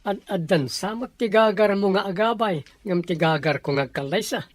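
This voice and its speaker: A man acting a devoted young boyfriend in a radio drama, relaxed and teasing. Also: high-pitched, normal speed, no room sound, close to an everyday voice. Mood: easygoing.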